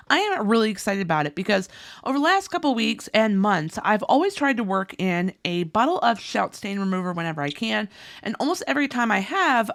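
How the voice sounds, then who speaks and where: A woman's voice: medium pitch, fairly bright tone. A woman, hosting a couponing channel and chatting through deals while losing her voice. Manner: bubbly and chatty, listing items and prices. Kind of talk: upbeat scripted deal rundown.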